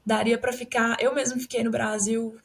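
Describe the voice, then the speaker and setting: Young woman host, slightly high voice, eager, encouraging, casual. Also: little pitch movement, moderate pace, gravelly, slightly rough. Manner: enthusiastic, encouraging. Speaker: podcast host. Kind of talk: casual interview.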